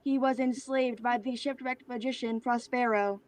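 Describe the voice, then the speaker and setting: An actress playing a serenely superior artificial spirit in a staged play reading, formal, precise and declamatory. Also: female voice, fairly high pitch, steady tone, moderate pace, no room sound, natural voice.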